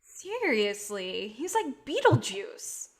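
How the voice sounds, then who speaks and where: A woman's voice: on the high side, very animated, slow pace, exaggerated voice. A woman playing an idealistic, patient filmmaker in a staged play reading, speaking in an earnest, coaxing way. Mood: casual.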